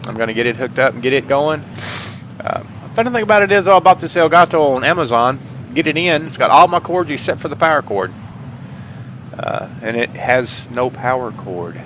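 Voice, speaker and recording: A man of average pitch talks casually to his online viewers. He is earnest and folksy, doubling back on phrases to stress them. Some noise sits behind his voice. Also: lively intonation, moderate pace, a bit bright. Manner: folksy, repeats phrases for emphasis; earnest, heartfelt. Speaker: gaming channel host. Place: indoors at home, paintings behind. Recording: clear background noise.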